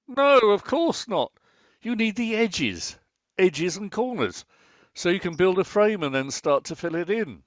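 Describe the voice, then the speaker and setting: A man's voice: high-pitched, big pitch swings, unhurried, no echo, dull audio. A man acting a grumbling, sarcastic but affectionate husband in a naturalistic radio play, dry and exasperated in manner.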